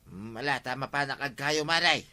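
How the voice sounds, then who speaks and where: A man's voice: medium pitch, moderate pace, sharp tone. A man playing a grumbling village woodseller in a comedy radio drama, exclaiming and complaining with an Ilocano accent. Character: grumbling working village man who sells firewood.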